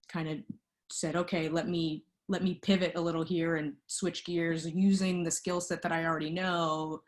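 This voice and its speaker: Interview guest: thirties woman, low-pitched, thoughtful, filler-heavy delivery. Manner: reflective, with many fillers. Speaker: guest, lighting designer. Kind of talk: conversational interview.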